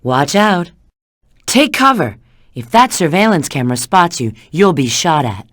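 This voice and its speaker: A cool, flirty female bat treasure hunter from a video game. She gives knowing instructions in a very lively, swooping delivery. The sound is close and dry. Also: fairly low pitch, a little slow, no room sound. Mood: urgent, alert. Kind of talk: video game character voice acting.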